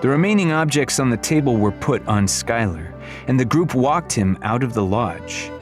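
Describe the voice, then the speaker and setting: A man's voice: medium pitch, lively intonation, normal speed, over music. A man reading scripted fantasy fiction as an audiobook narrator.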